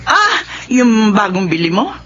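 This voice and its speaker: A woman's voice: medium pitch, very animated, unhurried, fairly bright tone, highs cut off, a little hiss or hum. A caring middle-aged mother. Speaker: mother in a radio drama. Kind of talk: radio drama acting.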